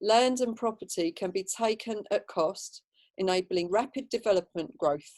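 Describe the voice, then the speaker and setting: A woman's voice: mid-range voice, moderate pace, darkish tone. Woman giving a chatty presentation. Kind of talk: informal slide presentation to an online meeting. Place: online video call. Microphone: video call microphone.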